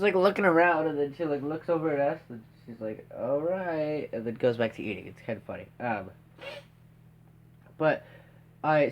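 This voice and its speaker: A man's voice: fairly high pitch, dull audio. Stuffy-nosed teen guy telling a hunting story, lots of um and right, kinda meandering. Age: teens. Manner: rambling, with many fillers and tag questions. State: stuffed-up nose. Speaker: podcast host. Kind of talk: casual storytelling.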